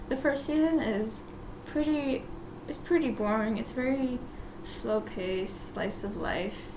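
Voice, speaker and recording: A woman whose first language is Russian, hosting a casual solo podcast, rambling and self-deprecating with soft laughs and plenty of "like" fillers. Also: fairly high pitch, noisy background. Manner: chatty, full of "like" fillers and restarts. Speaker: podcast host.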